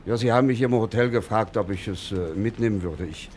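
An actor playing a chatty, hesitant customs officer in a radio drama, outwardly friendly and casually inquisitive. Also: male voice, lowish voice, a little fast, darkish tone, slight background noise, close to an everyday voice. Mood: polite, affable.

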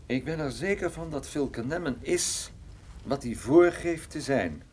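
A man acting as a wry, flirtatious private detective in a radio drama, conversational with small hesitant pauses. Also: on the high side, animated, darkish tone, breathy edge. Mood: wry, assured.

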